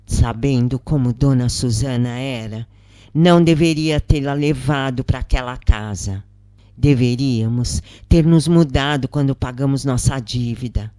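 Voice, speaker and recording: A woman with a Brazilian accent narrating a first-person story in a measured, expressive, dramatic audiobook style. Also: extremely low pitch, dry recording, studio quality. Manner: measured, expressive, acts out quoted lines. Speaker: narrator of a spiritist account.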